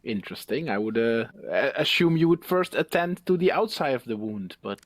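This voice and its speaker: A man roleplaying in a tabletop game, speaking in a halting, polite and slightly formal way. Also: mid-range voice, lively intonation, no room sound, studio quality. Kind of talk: in-character roleplay talk.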